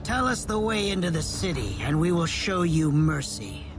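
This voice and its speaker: An actor playing an ancient Israelite scout in a dramatized audio Bible. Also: male voice, a little high, big pitch swings, moderate pace, music in the background. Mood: urgent.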